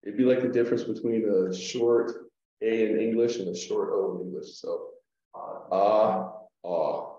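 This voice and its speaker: A man teaching a Greek lesson at a whiteboard, explaining slowly with fillers and restarts, heard through a room mic on a video call. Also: lowish voice, mellow, far from the mic. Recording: echoey room, dull lo-fi sound.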